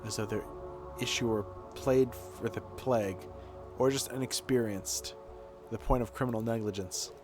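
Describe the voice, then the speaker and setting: A man's voice: mid-range voice, steady tone, unhurried, lightly acted. A man voicing a blunt, self-assured doctor in a video game, with pointed and formal questioning. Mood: scornful. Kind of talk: dramatic reading of game dialogue. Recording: game music behind the voice.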